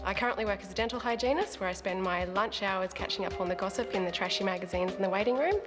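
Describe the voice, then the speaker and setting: A woman's voice: mid-range voice, quick pace, music in the background. A woman in her twenties, a game show contestant, candid and gossipy. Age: 20s.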